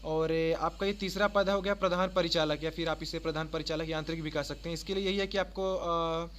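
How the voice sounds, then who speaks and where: A man's voice: fairly high pitch, fairly even intonation, brisk, fairly bright tone. A man from giving an informal, instructional explainer as an online exam-prep presenter, restating points and adding little check-in tags. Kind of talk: informal explainer of job notices. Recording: faint background noise.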